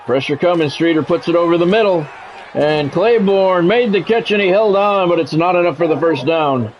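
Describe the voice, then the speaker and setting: A man's voice: high-pitched, moderate pace, a little hiss or hum, poor audio. A man doing practised radio-style football commentary in clipped phrases, mixed with casual chat, as he streams a video game.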